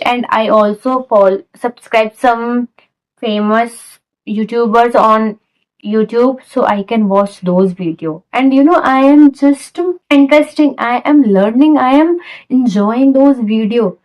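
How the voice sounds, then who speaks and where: Chatty young woman, higher voice. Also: a little mellow, poor audio. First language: Hindi. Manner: chatty, informal, repeats phrases like "I am just". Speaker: English tutor. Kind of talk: casual spoken lesson.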